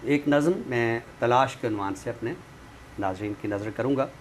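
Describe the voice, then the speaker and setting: A man's voice: mid-range voice, normal speed. A man with a Pakistani accent discussing language and literature as an expert, explaining didactically with examples. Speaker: literary commentator and guest expert on a talk show. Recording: clear background noise.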